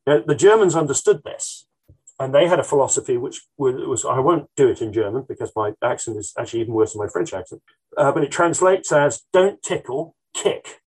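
A man's voice: medium pitch, expressive, fairly quick, a little mellow, breathy edge, poor audio. A man answering interview questions as a historian, thoughtful and hesitant, with frequent ums and restarts. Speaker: military historian guest.

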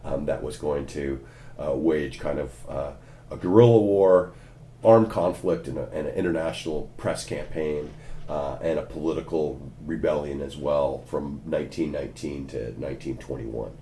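A Californian American man, a history lecturer, answering interview questions in his office, often pausing with ums and restarting. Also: rumbling low, dark tone, a little hiss or hum. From California, USA. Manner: frequent ums and restarts, lightly wry. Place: university office. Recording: imperfect office recording.

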